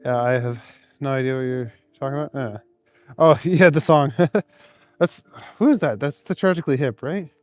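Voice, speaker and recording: A man's voice: medium pitch, normal speed, pauses often. A man live streaming while out on cold city streets, reading chat aloud in an easygoing, chuckling, rambling way. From Canada.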